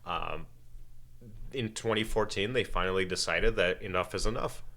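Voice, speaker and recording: A man from Ukraine, a podcast guest whose first languages are Russian and Ukrainian, talks in a measured, halting way with many fillers. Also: deep voice, creaky, sharp tone. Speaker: interview guest. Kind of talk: casual podcast interview.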